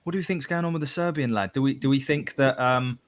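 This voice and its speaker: A man's voice: mid-range voice, quick pace. Chatty man hosting live. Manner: upbeat, reads out chat messages with laughs. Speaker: podcast host. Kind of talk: live football podcast chat.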